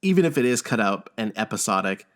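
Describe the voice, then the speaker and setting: Low-voiced man hosting a gaming podcast. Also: big pitch swings, fast, a bit bright. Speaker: podcast host. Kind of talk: casual gaming podcast talk.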